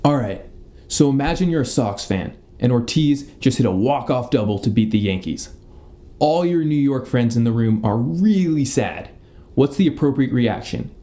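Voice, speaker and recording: A young man playing an officious, deadpan police detective in a comedy radio play. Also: medium pitch, normal speed, natural voice. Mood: deadpan, stern. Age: young adult. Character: deadpan, officious male detective interrogating a suspect.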